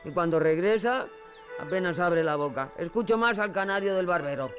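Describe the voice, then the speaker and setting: A woman's voice: on the low side, lively intonation, normal speed, natural voice. A woman playing a bitter, crippled older woman, a former cigarette-factory worker, complaining plaintively in a radio drama. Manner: plaintive, grumbling. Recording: radio drama with music behind.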